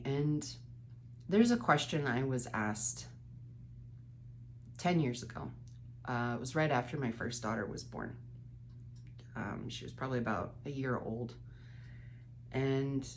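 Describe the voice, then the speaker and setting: A woman's voice: extremely low pitch, darkish tone, slight background noise. A woman in her thirties with a stuffy, congested nose, candidly rambling through a late-night vlog from her bedroom, sometimes swearing. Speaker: vlogger.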